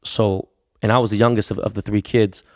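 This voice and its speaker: A man who grew up speaking Korean, hosting a podcast in an excitable, slangy way. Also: fairly low pitch, no echo, studio quality. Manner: excitable, slangy and quick to react. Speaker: podcast host. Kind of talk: casual podcast conversation.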